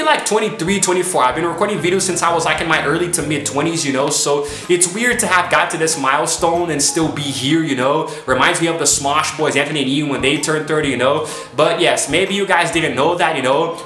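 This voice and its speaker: A man's voice: on the high side, pushed and bright. Rambling young man in an echoey room. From United States. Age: 20s. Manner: rambling, leans heavily on "you know". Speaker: YouTuber. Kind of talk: casual monologue to camera.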